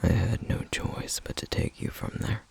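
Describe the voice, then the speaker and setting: A man playing a protective, duty-bound flame demon in an ASMR roleplay, speaking in a hushed, gravelly, halting and intense way. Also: close to an everyday voice. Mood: apologetic, grave. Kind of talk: ASMR roleplay, scripted character acting.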